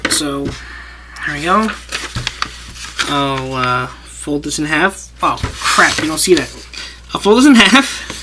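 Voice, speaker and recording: A man's voice: on the high side, animated, slow, pauses often. A man walking through a paper craft step by step, rambling and breaking off his own sentences as he works. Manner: rambling, talks while working, self-interrupting. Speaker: paper-craft tutorial maker. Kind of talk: casual hands-on tutorial. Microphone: camera mic. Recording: noisy home camera audio with clicks.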